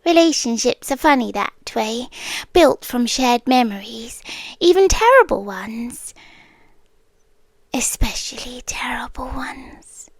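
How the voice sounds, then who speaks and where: A British woman playing a possessive monster queen in an intimate ASMR roleplay, speaking in a hushed, deliberate, regal way and often whispering. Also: fairly high pitch, animated, no room sound, heavily acted. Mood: wistful, menacing. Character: possessive monster queen, obsessive captor, softly menacing.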